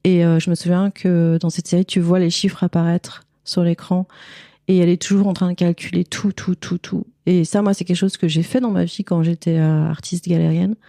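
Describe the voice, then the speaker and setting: A woman's voice: lowish voice, little pitch movement, a little fast, creaky, mellow, dry recording, studio quality. A woman from France, speaking candidly and informally as a guest in a casual interview. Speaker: podcast guest, cleaning lady and visual artist.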